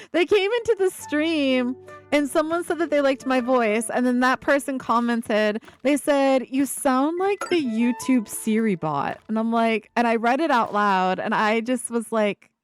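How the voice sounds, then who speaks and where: A woman over twenty-five chatting casually on a live stream, with a breathy, giggly laugh and lots of fillers. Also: sits high.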